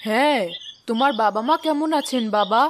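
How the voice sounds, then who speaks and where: A woman playing a kindly older mother-in-law who gently chides and advises in a Bengali cartoon. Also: fairly high pitch, moderate pace, noisy background, lightly acted. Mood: gently advising.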